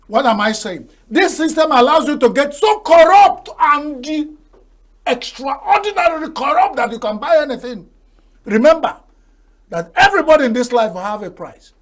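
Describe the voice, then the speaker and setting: Older nigerian guy on a tv panel, high pitched, chuckles through his proverbs. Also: sing-song, normal speed. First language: Igbo. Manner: folksy, proverb-laden, laughs at his own jokes. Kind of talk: TV talk show commentary.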